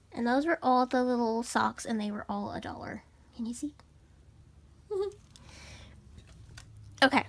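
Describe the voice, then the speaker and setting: A young woman vlogger with a raspy, stuffed-up voice, chatting bubbly and casually through a shopping haul. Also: on the high side, slight background noise. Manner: bubbly and chatty, many fillers. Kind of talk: casual haul vlog chat.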